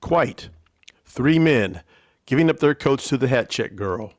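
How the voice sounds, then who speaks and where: Man playing proper, understated gentleman adventurer. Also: mid-range voice, animated, measured pace, faint music behind, natural voice. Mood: wry. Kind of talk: vintage radio drama acting.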